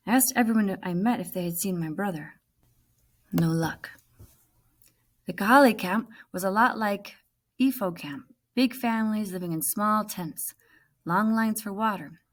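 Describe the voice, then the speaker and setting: A woman, a teacher, reading a storybook aloud with expressive narration. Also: medium pitch.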